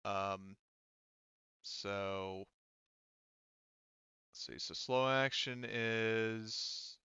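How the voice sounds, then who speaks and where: Bright, clear-voiced man running a tabletop game. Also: on the low side, steady tone, drawn-out words, dry recording, highs cut off. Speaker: game master of a tabletop role-playing game. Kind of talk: casual game talk, explaining rules.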